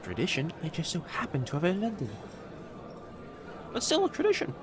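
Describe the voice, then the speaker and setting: A man playing a friendly, attentive young kitsune college student in an audio roleplay, speaking directly to the listener with soft teasing and hesitant pauses. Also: sits high, huge pitch swings, a crowd in the background. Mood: playful, welcoming. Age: young adult. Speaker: campus guide to a new student. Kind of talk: scripted boyfriend-style audio roleplay.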